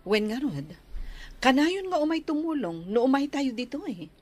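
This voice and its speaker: A woman's voice: high voice, sing-song, normal speed, a little mellow, dry recording. A woman playing a caring, practical visiting nurse in a radio drama, with a Filipino accent and chatty manner. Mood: concerned.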